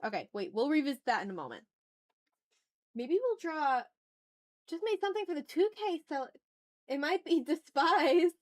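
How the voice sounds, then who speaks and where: Higher-voiced woman chatting on an art stream. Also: sing-song, normal speed, pauses often. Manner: playful, talks to chat and thinks aloud. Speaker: art livestream host. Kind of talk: casual livestream chat while drawing.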